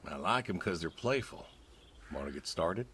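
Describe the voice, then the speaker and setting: An actor playing a gentle, plainspoken older farmer in a video game, with a laconic, dry manner. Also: male voice, deep voice, animated, normal speed, slight background noise. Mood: dry, matter-of-fact. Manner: laconic, dry, folksy, understated.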